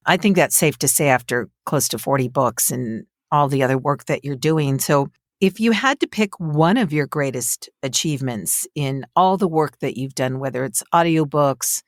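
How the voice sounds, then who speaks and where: A woman's voice: very deep voice, normal speed, hardly any pauses, no echo, clean studio sound. A woman hosting a podcast, interviewing a guest in a conversational way.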